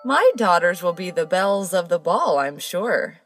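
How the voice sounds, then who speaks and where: A woman's voice: on the low side, expressive, normal speed, faint music behind. Woman acting a haughty, swooping cruel stepmother. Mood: proud. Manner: haughty, scolding. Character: cruel, imperious middle-aged stepmother of fairy tale. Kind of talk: children's cartoon voice acting.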